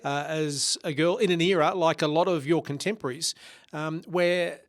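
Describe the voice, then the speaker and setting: Man hosting a podcast, slightly high. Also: moderate pace. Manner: relaxed, conversational questions. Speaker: podcast host. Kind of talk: podcast interview.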